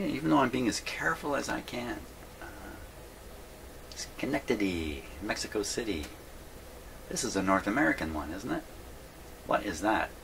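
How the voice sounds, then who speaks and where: A man with a low-pitched voice talks casually through hands-on work in a home workshop. He rambles and muses to himself as he goes, with clear noise behind him on the recording. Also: fairly bright tone, low-quality recording. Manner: rambling, musing aloud to himself. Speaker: host of a radio repair video. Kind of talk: casual hands-on workbench narration.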